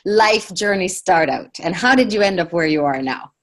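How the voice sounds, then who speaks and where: A woman's voice: on the low side, animated, moderate pace. A woman coach hosting an interview, encouraging and full of affirmations. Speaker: interview host, success coach. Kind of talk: casual interview chat.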